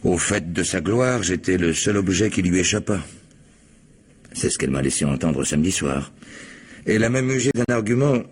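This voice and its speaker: A man playing a proud, modest physicist, an estranged husband answering questions in a measured, hesitant way in a French radio crime drama. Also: low voice, lively intonation, breathy edge. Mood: wounded pride.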